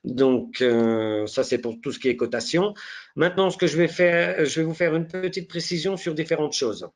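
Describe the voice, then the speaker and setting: Hesitant man demoing software with a cold. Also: on the high side, moderate pace. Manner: hesitant, many fillers and restarts. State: has a head cold. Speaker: software demonstrator. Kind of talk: live software demo walkthrough. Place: online live presentation.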